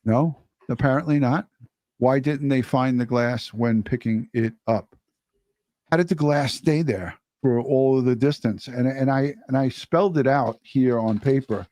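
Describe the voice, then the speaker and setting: A man co-hosts a livestream and reads viewer messages aloud in a blunt, offhand way. He swears freely. His voice is mid-pitched and recorded dry, with no room sound. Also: a little slow, darkish tone, no room sound. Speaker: livestream co-host. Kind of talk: casual chat reading viewer messages.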